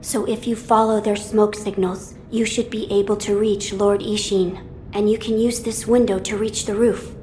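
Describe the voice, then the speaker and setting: A woman playing a noble young boy lord in a video game, speaking formally, gently and deliberately. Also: medium pitch, flat delivery, a little hiss or hum, close to an everyday voice. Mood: earnest, resolute. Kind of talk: dramatic video game dialogue.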